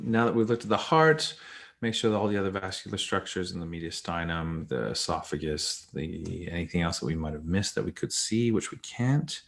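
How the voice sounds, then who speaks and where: A man with a mixed North American and British accent, informally teaching as a specialist radiologist and explaining step by step in plain colloquial terms. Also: sits low. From Canada, later Hong Kong.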